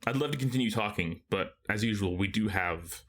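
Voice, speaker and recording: A man's voice: lowish voice, fairly quick, slight background noise. A young man from Texas co-hosting a podcast, chatting casually with his brother, easygoing and full of fillers and restarts. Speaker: podcast co-host. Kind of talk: casual chat between brothers.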